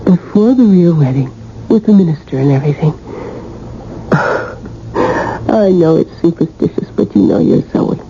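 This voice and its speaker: Casting: secretive young bride for radio mystery; unhurried, breathy persuasion, muffled noisy vintage sound. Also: female voice, low voice, expressive, mellow. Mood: coaxing. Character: coaxing, secretive young bride devoted to voodoo rites. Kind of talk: old-time radio drama acting.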